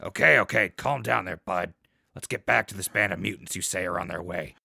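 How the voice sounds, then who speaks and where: Wasteland radio host acted by a man: wry, folksy, low and bright-edged, gritty, for a post-apocalyptic radio play. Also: brisk, no echo, studio quality, exaggerated voice. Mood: skeptical. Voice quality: low, gravelly. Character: wry male wasteland radio show host, unflappable and sardonic.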